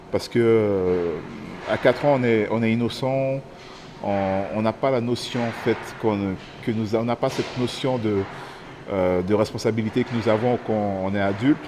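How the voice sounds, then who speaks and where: A man in his thirties from French Guiana, telling his own story haltingly, with many fillers and restarts. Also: fairly low pitch, noisy background. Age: 30s. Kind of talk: personal testimony to camera. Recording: music playing under the voice.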